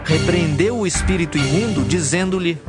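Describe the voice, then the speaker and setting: A man's voice: a little high, normal speed, over loud music. A man with a Brazilian accent narrating a dramatized scripture in a scripted storytelling style.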